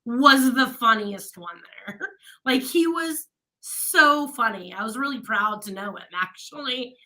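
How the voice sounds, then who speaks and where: A woman's voice: on the high side, lively intonation, measured pace, bright, forceful tone, rough recording. A woman radio host in casual chat with her co-host, chatty and laughing often.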